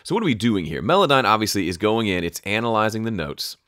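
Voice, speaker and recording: Low-voiced man in a casual tutorial. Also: sing-song, fast, fairly bright tone, no echo, studio quality. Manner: conversational, thinking aloud, self-interrupting. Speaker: audio production tutorial host. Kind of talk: casual screen-share tutorial talk.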